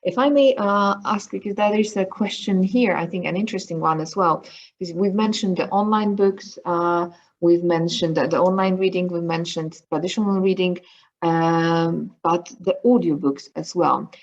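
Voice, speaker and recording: Low gravelly lady asking questions on a muffled video call, lots of ums. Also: moderate pace. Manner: hesitant, many fillers. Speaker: conference moderator. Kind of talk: online conference Q&A.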